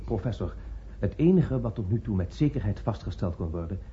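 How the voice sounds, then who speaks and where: A hesitant male assistant as an actor plays him speaks in a very soft-edged, dark voice. He is formal and apologetic, on a muffled old radio recording with faint noise. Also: on the low side, expressive, brisk, close to an everyday voice. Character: hesitant, deferential male scientific assistant reporting to superior. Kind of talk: radio drama dialogue.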